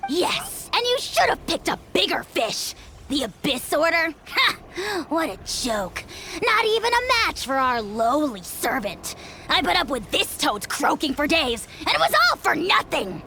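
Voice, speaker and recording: A woman voicing a tiny, boastful dragon lord who shouts its own grand titles in a pompous manner. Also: high voice, wildly swooping pitch, unhurried, noisy background, heavily acted. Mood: scornful.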